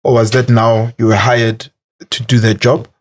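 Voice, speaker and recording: A man with an ordinary mid-range voice hosting a relaxed interview. He chimes in with brief affirmations and asks follow-up questions in a conversational tone. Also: medium pitch, moderate pace. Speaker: podcast host. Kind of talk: casual interview chat.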